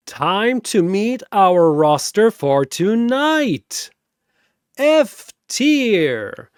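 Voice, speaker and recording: Guy doing a showy fantasy battle arena announcer, grandiose, stretches words out, super animated, dry close sound. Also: high-pitched, extremely slow, clear, resonant tone, no echo, voice pushed up, heavily acted. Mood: hyped announcing. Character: flamboyant four-armed arena master of ceremonies, showy and grandiose.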